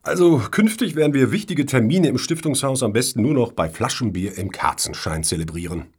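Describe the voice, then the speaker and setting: An actor playing a wry, officious foundation board member in a satirical radio play, with an ironic, formal way of talking. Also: male voice, medium pitch, animated, a little fast, husky. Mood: wry, dry.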